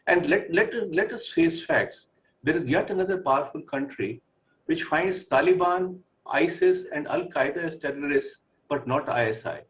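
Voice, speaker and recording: Male Indian-accented ex-diplomat pundit, fairly high voice, pointed rhetorical delivery, dull sound. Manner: measured, rhetorical, pointed with listed parallels. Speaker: former diplomat, debate guest. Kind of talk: panel commentary.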